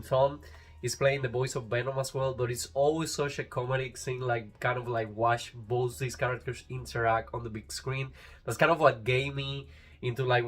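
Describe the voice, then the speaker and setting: A man's voice: medium pitch, a bit bright. A man whose first language is Spanish, with a Latin American Spanish accent, chatting casually and enthusiastically as he reviews a disc for his channel, rambling with fillers and restarts. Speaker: YouTube reviewer.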